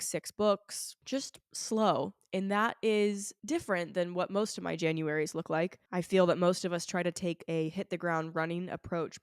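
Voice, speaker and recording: Hoarse, sick woman chatting candidly. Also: on the low side, dry recording, studio quality. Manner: candid, chatty, self-deprecating. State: sick, hoarse, raw throat. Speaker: podcast host. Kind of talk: casual solo podcast chat. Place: at home, not in a studio.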